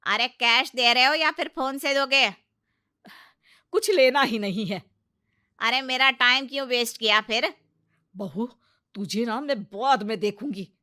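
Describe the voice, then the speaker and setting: A woman's voice: a little high, unhurried, long pauses, pushed and bright, dry recording, clean studio sound. A woman playing a stubborn, hot-tempered village mother-in-law in a comedy cartoon, scolding with blunt retorts. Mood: scolding, indignant. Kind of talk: Hindi comedy cartoon dubbing.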